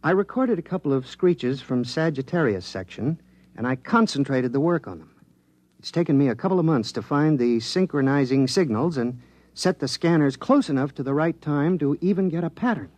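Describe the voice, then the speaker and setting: An actor playing an earnest, absorbed government electronics researcher in a 1950s radio drama, explaining things precisely and a little hesitantly. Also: male voice, fairly high pitch, expressive, normal speed, darkish tone, no echo, close to an everyday voice. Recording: vintage 1950s radio recording.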